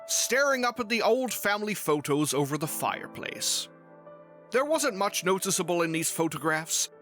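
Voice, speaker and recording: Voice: mid-range voice, fairly bright tone. A narrator reading a scripted fantasy story in a storybook style.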